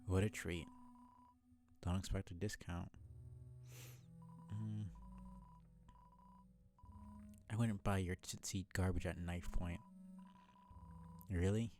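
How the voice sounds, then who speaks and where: Guy doing a tired old monster shopkeeper from a game, low n croaky, wry and scornful. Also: level tone, slow pace, long pauses. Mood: scornful, resigned. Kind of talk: video game character read-aloud.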